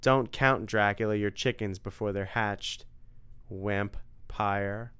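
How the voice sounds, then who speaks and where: A man voicing a cocky, wisecracking young hero in a read-aloud story, quick and cheeky. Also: lowish voice, fairly even intonation, a bit bright, smooth.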